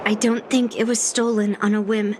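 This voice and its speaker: A woman's voice: medium pitch, level tone, moderate pace, noisy background, natural voice. An actress playing a guarded, blunt girl in a game cutscene, terse in manner.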